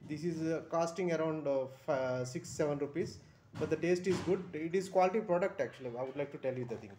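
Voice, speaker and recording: A man with an Indian English accent gives a casual review vlog, stopping and restarting often with fillers. His voice sits fairly high for a man. A faint hiss runs under it. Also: mellow. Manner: halting, many fillers and restarts. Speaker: vlogger reviewing a bus trip. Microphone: phone camera held over items.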